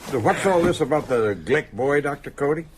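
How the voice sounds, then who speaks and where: An actor playing a folksy, wary small-town constable with an American accent and hesitant, colloquial talk in a radio drama. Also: male voice, on the high side, animated, a little fast, slight background noise, lightly acted. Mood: puzzled, probing.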